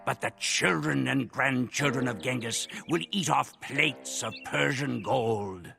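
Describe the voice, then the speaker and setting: A man's voice: mid-range voice, gravelly, music in the background. A grim Mongol horseman chronicler. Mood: grave. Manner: grave, measured storytelling. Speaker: narrator. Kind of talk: scripted game cutscene narration.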